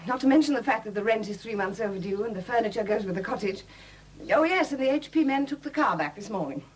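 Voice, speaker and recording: Young widow, husky, proud and wry with a bitter edge, vintage radio drama. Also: female voice, on the high side, lively intonation, quick pace, rough recording. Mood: flustered, brisk. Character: proud, bitter young widow, pregnant, stubbornly self-reliant. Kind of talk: 1970s radio drama acting. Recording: dated 1970s radio drama recording.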